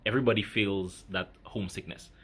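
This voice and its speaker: A man in his thirties from Grenada, talking reflectively to his viewers in a halting, casual way with many fillers. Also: on the low side, fairly even intonation, a bit bright, slightly rough. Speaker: vlogger. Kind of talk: casual talk to camera.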